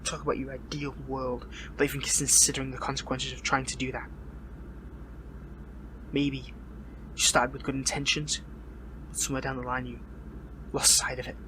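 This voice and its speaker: A man playing a bitter, defiant, sarcastic superpowered fighter in a dramatic audio roleplay, speaking haltingly with a biting edge. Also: mid-range voice, little pitch movement, background noise, lightly acted. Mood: wounded, sarcastic.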